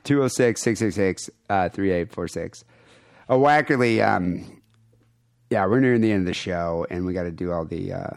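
A man's voice: on the low side, no echo, studio quality. A man co-hosting a podcast, rambling casually with crude, irreverent jokes and show plugs. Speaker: podcast co-host.

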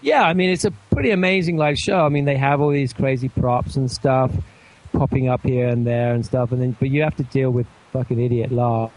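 Brief: young southern English man, mid-pitched, loose rambling podcast storyteller. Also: a little fast, darkish tone, low-quality recording. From southern England. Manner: rambling, self-mocking storyteller. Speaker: guest co-host. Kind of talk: casual podcast banter.

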